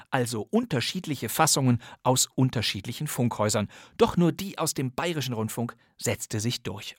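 A man's voice: mid-range voice, no echo. A man hosting a crime radio-play podcast, presenting from a script in a wry, playful and chatty manner, recorded on a studio mic. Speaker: podcast host.

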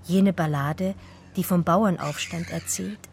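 Low-voiced, wistful German woman remembering. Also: steady tone, takes it slowly, a little mellow, a little hiss or hum. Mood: sober recollection. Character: wistful German woman art historian remembering her lost Russian lover. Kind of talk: radio drama first-person narration.

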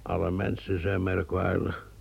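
A brooding man haunted by his traitor ancestor, voiced by an actor, with a deep, gravelly voice. He speaks slowly and solemnly, as if describing a vision. The old radio play recording sounds dull and muffled. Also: completely flat delivery, exaggerated voice. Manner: solemn, measured, visionary storytelling. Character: brooding male guide, descendant of a traitor.

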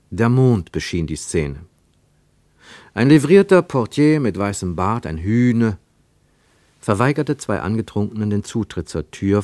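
Guy narrating a radio drama, deepish voice, lively dramatic storytelling, dry studio sound. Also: dry recording. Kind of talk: literary scripted narration for a radio play.

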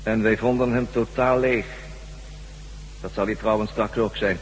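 An actor playing a calm, menacing, smug alien who commands others in a radio drama. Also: male voice, lowish voice, measured pace, lightly acted. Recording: hollow reverberant hall, faint hiss and hum.

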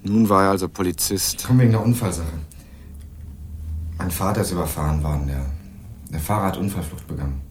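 An actor playing a wry, laconic young student who narrates and speaks in a crime radio play with dry irony. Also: male voice, low-pitched, fast talker, long pauses, a little hiss or hum, close to an everyday voice. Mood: matter-of-fact. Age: young adult. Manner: dry, ironic. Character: wry, laconic young male student investigating his father's death. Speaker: narrator and protagonist.

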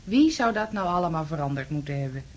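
An actress playing a placating but firm landlady in a radio play, coaxing and patronising, with a breathy voice. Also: deep voice, big pitch swings, fast talker, darkish tone. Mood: coaxing, reluctant. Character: placating, firm landlady humouring an unwell male lodger. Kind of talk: Dutch radio play acting.

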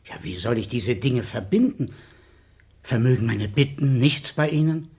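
An urgent, pleading country baron with a lively, rising and falling voice. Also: male voice, medium pitch, moderate pace, vocal fry. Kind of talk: stage radio play acting.

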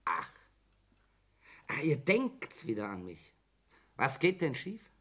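A man's voice: medium pitch, huge pitch swings, slow pace, fairly bright tone, heavily acted. Young guy, annoyed and short with everyone, mid voice, sixties radio play. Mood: grumpy. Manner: irritated, curt. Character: grumpy, bookish young man of conscription age. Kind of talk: 1960s German radio play acting.

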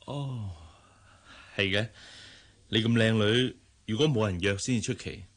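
A man's voice: on the low side, a little slow. Hesitant, courteous male boss. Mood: hesitant, flirting. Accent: Hong Kong Cantonese. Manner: hesitant, polite. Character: married male boss, courteous, awkwardly flirting with employee. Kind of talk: radio drama acting.

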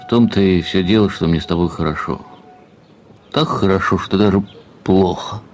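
An actor in an audio drama playing a weary, melancholy ageing academic husband, musing with resigned affection. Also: male voice, deep voice, moderate pace, natural voice.

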